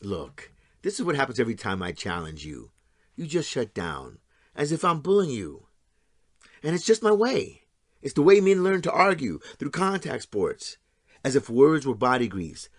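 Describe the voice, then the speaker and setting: A man's voice: mid-range voice, sing-song, normal speed, no echo, studio quality. An actor playing a resentful, combative blue-collar man in an audio drama, plain-spoken, sardonic and argumentative. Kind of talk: stage-style audio drama acting.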